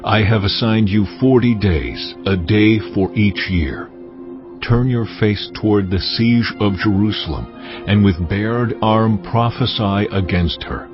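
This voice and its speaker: An actor voicing a stern, commanding God, speaking deliberately and with authority in a dramatized scripture reading. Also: male voice, fairly low pitch, muffled recording, over music, natural voice. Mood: solemn instruction. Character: God, solemn commanding deity instructing a prophet.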